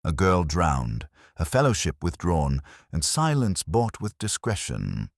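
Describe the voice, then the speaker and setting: Really deep guy narrating a detective story, literary and measured, pitch moves around a lot. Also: huge pitch swings, gravelly, dry recording, studio quality. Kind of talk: scripted first-person detective story narration.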